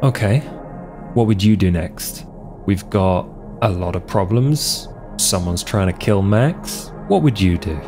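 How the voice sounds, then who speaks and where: A man plays a drifting vampire in a tabletop game, tired and defiant. His voice is fairly low and blunt, coming out in halting, exasperated phrases over faint music. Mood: resistant. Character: weary, defiant male vampire wanderer. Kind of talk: improvised tabletop roleplay acting.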